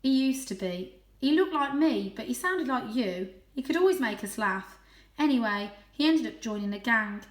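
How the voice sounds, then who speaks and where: Woman as a friendly storybook she-cat, chatty, excitable, raised swooping pitch, echoey room. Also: normal speed, lightly acted. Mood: warm, wistful. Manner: warm, excitable, teasing. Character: friendly, chatty, wide-eyed female shaggy brown cat.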